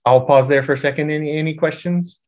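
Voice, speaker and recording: A middle-aged man giving an informal slide presentation as a group organizer, halting and repeating words in a self-deprecating way. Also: on the high side, fairly even intonation, darkish tone. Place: indoor room at home, on a video call. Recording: dull, lo-fi video call audio.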